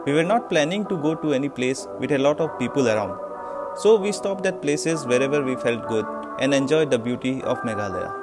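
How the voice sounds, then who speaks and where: A man's voice: mid-range voice, expressive, brisk. A man with an Indian accent presenting a travel vlog as its host, narrating and speaking to the camera. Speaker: travel vlogger. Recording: loud music behind the voice.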